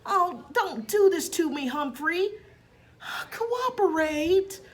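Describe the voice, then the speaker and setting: A woman's voice: extremely high pitch, big pitch swings, takes it slowly, pitched above the performer's own voice, full character voice. A woman voicing a flustered middle-aged father, a school principal who pleads and gives firm orders in a storybook reading. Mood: frantic, pleading.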